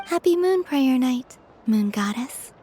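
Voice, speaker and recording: A woman voicing a gentle, naive young moon goddess in a video game, serene, curious and hesitant. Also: high-pitched, lively intonation, takes it slowly, a little hiss or hum. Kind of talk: video game character acting.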